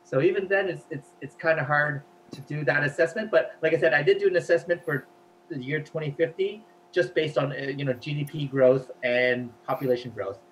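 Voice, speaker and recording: A man's voice: fairly high pitch, a little fast, a little hiss or hum, rough recording. A man whose first language is Cantonese, chatting as an interview guest and explaining at length with many fillers. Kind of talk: casual interview talk.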